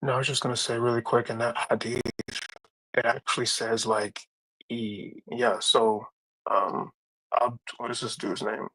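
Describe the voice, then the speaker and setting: Gravelly husky man debating bluntly. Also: medium pitch, little pitch movement, measured pace, sharp tone, poor audio. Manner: blunt, challenging, reads scripture aloud. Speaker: debate panelist. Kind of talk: casual live-stream debate.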